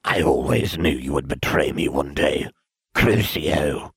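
A man voicing a grandiose, menacing dark lord in an audiobook, with slow, imperious delivery. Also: dry recording, studio quality, full character voice. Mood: sneering. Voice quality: husky, very low voice. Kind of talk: audiobook character voice.

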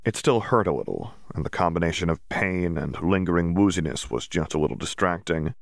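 A man's voice: low voice, animated, brisk, gravelly, no echo, studio quality. A man reading a fantasy story aloud as scripted audiobook narration.

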